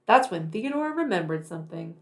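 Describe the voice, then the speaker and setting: A woman reading a picture book aloud to young children, deliberate and expressive in her narration. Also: on the low side. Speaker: children's read-aloud storyteller.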